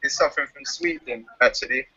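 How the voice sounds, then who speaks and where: Cheerful man with a bright voice. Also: medium pitch, brisk, husky, muffled recording. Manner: cheerful, laughing. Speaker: guest. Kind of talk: casual chat.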